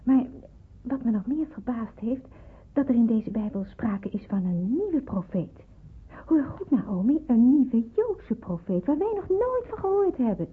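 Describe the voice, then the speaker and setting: Vurige jonge Joodse onderduikster, hese stem. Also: female voice, a little high, sing-song, a little slow, husky, heavily acted. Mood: earnest, fervent. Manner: earnest, emphatic, pleading delivery. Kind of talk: Dutch radio play acting. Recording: muffled narrowband old radio play.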